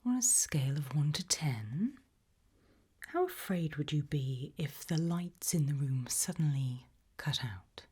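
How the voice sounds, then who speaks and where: A woman softly whispering an ASMR roleplay, questioning the listener in a deliberate, gently ominous way. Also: sits low, animated. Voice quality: soft breathy whisper, mostly unvoiced.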